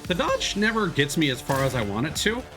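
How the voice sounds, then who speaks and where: A man's voice: a little high, very animated, brisk. A man live streaming a video game with casual, playful, joking commentary. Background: game music.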